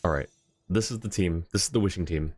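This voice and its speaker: A man's voice: sits low, quick pace, a little mellow, slightly rough, no echo. A man chatting casually on a game livestream, thinking aloud and rambling as he reads chat, with music behind him. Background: video game music.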